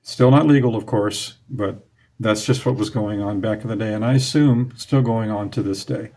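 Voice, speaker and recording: Relaxed middle-aged man talking to camera. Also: lowish voice, normal speed. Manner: relaxed, nostalgic, plain-spoken with fillers. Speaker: radio hobby channel host. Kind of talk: casual explainer to camera. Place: small room indoors in front of curtains. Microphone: clip-on lapel mic.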